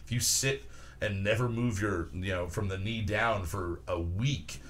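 A man hosting a loose, casual conversation, cracking crude jokes and speaking bluntly. His voice sits on the low side. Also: unhurried, a bit bright. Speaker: host. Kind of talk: casual chat.

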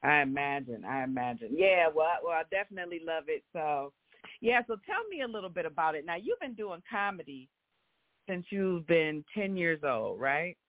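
A man's voice: a little high, sing-song, moderate pace, no echo. A man hosting a casual interview show, friendly and affirming, often repeating his guest's words back. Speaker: show host. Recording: tinny phone-like line, dry.

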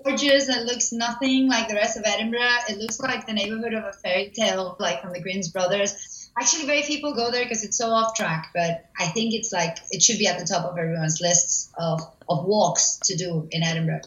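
A woman's voice: medium pitch, brisk, a bit bright. Woman, enthusiastic travel tips, lots of "like", echoey room, dull lo-fi sound. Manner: enthusiastic, uses "like" often. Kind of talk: casual travel recommendations. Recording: roomy, dull lo-fi audio.

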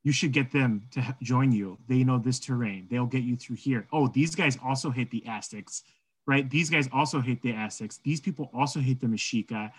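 A man's voice: medium pitch, moderate pace, breathy edge, low-quality recording. A man co-hosting a podcast, talking casually about folklore and history in an informal way, often checking in with "right?". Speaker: podcast co-host.

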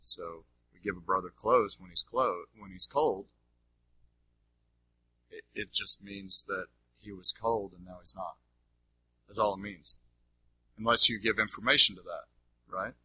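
A man's voice: on the low side, a little slow, pauses often, pushed and bright, husky, no room sound, rough recording. A man giving unscripted teaching as he answers audience questions, explaining emphatically and checking in with his listeners.

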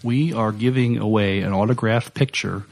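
Low-voiced man co-hosting a podcast. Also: fairly even intonation, normal speed, vocal fry, darkish tone. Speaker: podcast co-host. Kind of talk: casual banter podcast co-hosting.

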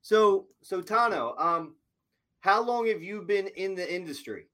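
Host, male, laid-back interviewer, slightly high voice, lots of ums. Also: a little slow. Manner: relaxed, filler-heavy questioning. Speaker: podcast host. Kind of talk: casual interview chat.

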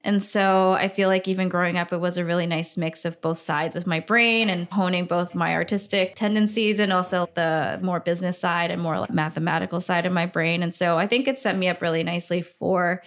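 A woman's voice: on the low side, steady tone, brisk, few pauses. A woman in her thirties from Singapore, a brand founder talking enthusiastically in a podcast interview. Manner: enthusiastic, upbeat, frequent fillers.

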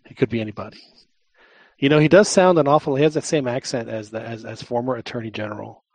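Husky-voiced man hosting a podcast. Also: mid-range voice, a little mellow, no echo. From Texas. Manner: halting, repeats words, joking. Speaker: podcast host. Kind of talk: casual podcast chat.

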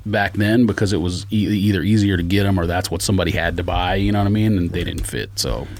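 A man's voice: low-pitched, quick pace, a little hiss or hum. A man chatting casually on a podcast panel, with slangy, argumentative banter. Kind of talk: casual sneaker chat.

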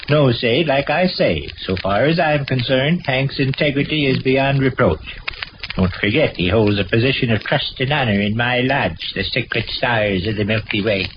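A man's voice: medium pitch, normal speed, natural voice. An actor playing a pompous, middle-aged small-town husband and father with an American accent, speaking in wordy formal phrases in 1940s radio comedy style. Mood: indignant, stern. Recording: muffled, noisy old radio recording.

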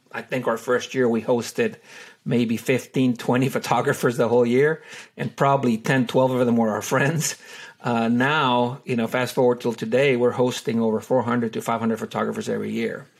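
Middle-aged man from Honduras, gravelly voice, unhurried and full of fillers, an easygoing interview guest. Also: medium pitch. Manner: hesitant, many fillers and restarts. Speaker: wildlife photographer and tour guide. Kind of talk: relaxed interview answers.

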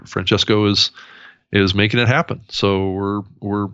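A deep-voiced man co-hosting a podcast, explaining things in a measured way with plenty of ums. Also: steady tone, bright, forceful tone, clean tone, no echo. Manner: measured, filler-heavy explaining. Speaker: podcast co-host. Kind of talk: casual podcast chat. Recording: dull lo-fi audio.

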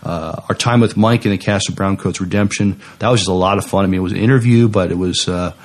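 A man co-hosting a podcast in casual chat, halting but enthusiastic as he talks. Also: low voice, steady tone. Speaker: podcast co-host.

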